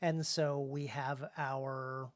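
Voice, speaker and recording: A man hosting a casual discussion about craft, speaking haltingly and often repeating words as he thinks. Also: mid-range voice, little pitch movement. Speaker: podcast host and author.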